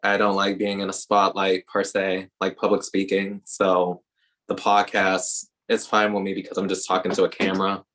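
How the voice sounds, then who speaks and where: Flat-toned deep-voiced man hosting. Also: normal speed. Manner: self-described introvert, even-toned. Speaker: podcast host. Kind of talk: casual interview chat.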